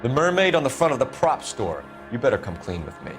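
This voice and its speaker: A stern noir detective. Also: male voice, medium pitch, very animated, a little fast, a bit bright, soft background music. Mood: probing. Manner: cool, pressing questions. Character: stern male LAPD detective, relentless. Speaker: police detective. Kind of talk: 1940s noir video game acting.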